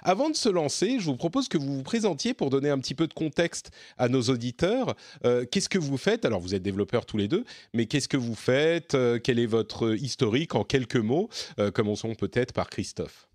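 Male podcast host, casual and chatty, mid pitch, dry studio sound. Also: big pitch swings, fairly quick, hardly any pauses, a little mellow, no echo. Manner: chatty, many fillers and restarts. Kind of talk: casual tech podcast talk.

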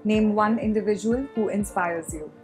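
A woman interviewer asking quick upbeat questions and giving encouraging replies. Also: medium pitch, darkish tone, faint music behind, rough recording.

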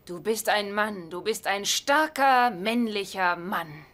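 An actress playing a loving, supportive and wry wife in a dubbed sitcom. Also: female voice, medium pitch, expressive, takes it slowly, fairly bright tone. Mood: reassuring.

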